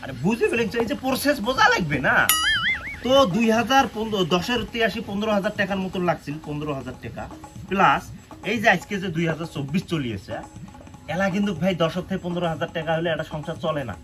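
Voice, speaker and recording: A man's voice: sits high, expressive, quick pace, a bit bright. A man playing a pushy, evasive network-marketing recruiter in a comedy sketch, speaking a northern Bengali dialect and repeatedly badgering for agreement. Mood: persuasive. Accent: northern Bengali regional dialect. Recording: music laid under the dialogue.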